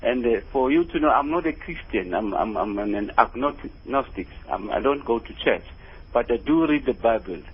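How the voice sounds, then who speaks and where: A man's voice: medium pitch, animated, moderate pace, slightly rough, highs cut off. A South African man calling in to a radio show, giving his earnest opinion in an emphatic way, repeating words as he goes. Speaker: radio phone-in caller.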